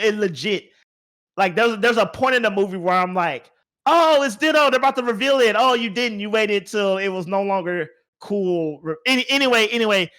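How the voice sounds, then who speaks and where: A man's voice: high voice, fairly bright tone. A man hosting a podcast in casual, opinionated chat, speaking emphatically and repeating words for emphasis. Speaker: podcast host.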